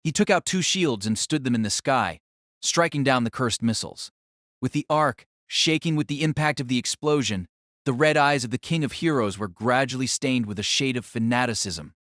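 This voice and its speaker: A man's voice: mid-range voice, normal speed, fairly bright tone, no room sound. Dramatic male narrator, dry close sound. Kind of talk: dramatic scripted narration of a fantasy novel.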